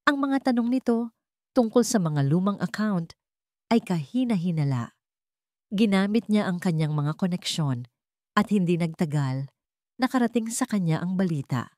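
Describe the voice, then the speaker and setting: A woman narrating a scripted dramatic story with an expressive delivery. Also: on the low side, dry recording, studio quality.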